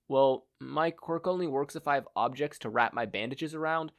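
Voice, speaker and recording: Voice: medium pitch, moderate pace, voice pushed up, close to an everyday voice. A performer voicing a meek, laid-back male villain in a mild, matter-of-fact way in an audio story. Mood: meek apologetic.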